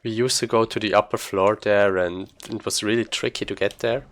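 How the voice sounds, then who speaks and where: Low-voiced man casually commentating a speedrun. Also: fairly even intonation, fairly quick. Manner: rambling, informal, thinking aloud while playing. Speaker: speedrunner streaming a video game. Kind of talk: casual live gaming commentary.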